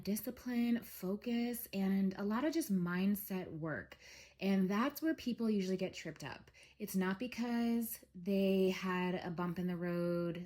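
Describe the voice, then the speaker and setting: A young woman with a head cold, congested and a little scratchy, giving a relaxed spiritual reading monologue with many ums. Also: lowish voice. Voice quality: scratchy throat. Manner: slow, soothing, with frequent um fillers. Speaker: tarot reader.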